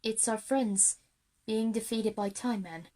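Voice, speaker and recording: A woman's voice: a little high, takes it slowly, mellow. A woman reading scripted present-tense narration for an audio drama.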